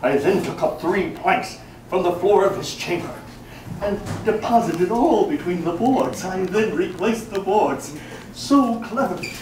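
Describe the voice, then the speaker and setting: Casting: nervy, boastful mad killer in gothic monologue; high, swooping, melodramatic delivery, heard in an echoey room. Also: male voice, animated, recorded from a distance. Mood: boastful, cunning. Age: older. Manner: melodramatic, emphatic, deliberate phrasing with sudden swells. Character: gleeful mad murderer, male, boastful, cunning, nervy. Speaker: actor giving a dramatic reading. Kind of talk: theatrical live recitation of gothic horror monologue. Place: small brick-walled cafe room, indoors. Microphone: camera a few metres away.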